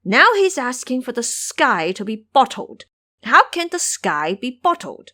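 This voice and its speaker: A woman's voice: fairly high pitch, sing-song, measured pace, bright, forceful tone, pitched above the performer's own voice, full character voice. A woman voicing an indignant, stately male emperor of heaven in an audio drama.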